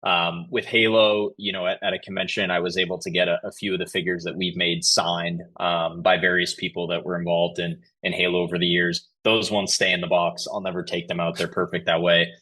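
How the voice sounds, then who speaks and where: Man, deep voice, podcast guest, conversational, explanatory, measured. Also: flat delivery, pushed and bright, poor audio. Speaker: podcast guest, company chief executive. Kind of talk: conversational interview answers.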